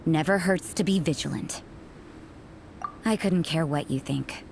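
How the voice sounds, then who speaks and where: An actress playing a cool, world-weary female loner in a video game, with a brusque, bored, deadpan delivery. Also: low voice, measured pace, gravelly, a little hiss or hum. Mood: dismissive. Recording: music behind the voice.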